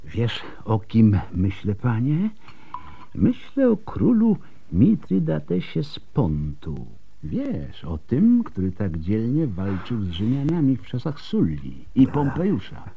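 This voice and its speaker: An actor playing a tipsy, wry and animated physician in a radio drama, with a husky voice and a theatrical delivery. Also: male voice, mid-range voice, slow pace, narrow, tinny audio, full character voice. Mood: reflective.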